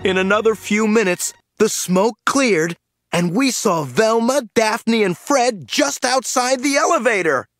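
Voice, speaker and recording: A man narrating an audiobook in the first person with lively storytelling. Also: high voice, no room sound, studio quality, faint music behind. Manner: lively storytelling, slow and animated.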